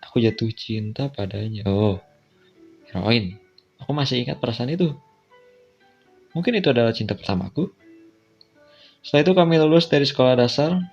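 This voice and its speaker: A man's voice: medium pitch, a little mellow. A man with an Indonesian accent reads a visual novel aloud as a casual playthrough, dropping in offhand asides. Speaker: video game player reading a visual novel aloud. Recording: dull lo-fi mic, music behind.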